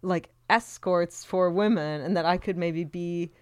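Giggly halting podcast co-host. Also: female voice, fairly low pitch, clean tone, no echo, clean studio sound. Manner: giggly, halting, many restarts. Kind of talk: casual chat.

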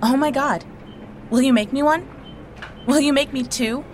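An excitable young woman played by an actress in an audio drama, with a high voice. She is friendly and gushes with bubbly enthusiasm, over clear background noise. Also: moderate pace, close to an everyday voice. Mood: excited, gushing. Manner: gushing, bubbly.

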